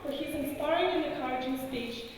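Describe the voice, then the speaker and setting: A woman's voice: sits high, brisk. A young woman with a non-native English accent gives a formal, carefully delivered prepared speech as a student representative at a ceremony. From outside Australia. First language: not English. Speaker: student speaker at a graduation. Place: large graduation hall. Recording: echoey hall, distant mic, noisy.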